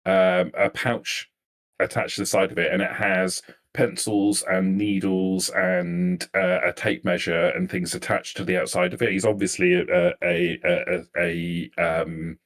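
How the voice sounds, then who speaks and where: A man runs a tabletop roleplaying game in a lowish voice. He improvises descriptions haltingly, often doubling back on a word as he searches for the next. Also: animated, vocal fry, a bit bright. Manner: hesitant, searching for words, repeating himself. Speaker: game master.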